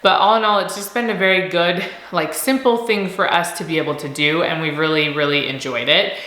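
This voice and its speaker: A woman in her thirties, a homeschooling mom, chatting casually in a vlog, slightly nasal from a cold, upbeat and quick to laugh. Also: lowish voice, fairly even intonation, pushed and bright, echoey room, low-quality recording. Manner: upbeat, laughs often, repeats words for emphasis.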